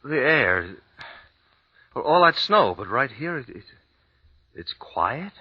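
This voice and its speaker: An actor playing an uneasy American writer, who talks in a halting, hesitant way. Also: male voice, medium pitch, very animated, breathy edge, exaggerated voice. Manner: halting, hesitant, repeats words. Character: uneasy American writer husband, adult man. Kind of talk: old-time radio drama acting. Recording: dull lo-fi old radio recording.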